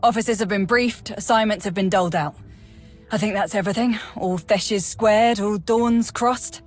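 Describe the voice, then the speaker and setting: An actress playing a loyal, frank Imperial major in a video game, speaking earnestly with military formality. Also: female voice, mid-range voice, normal speed, soft background music, natural voice. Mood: brisk, dutiful. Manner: earnest, crisp military address. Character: dutiful, sincere female Imperial army major, loyal and frank. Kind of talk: dramatic video game acting.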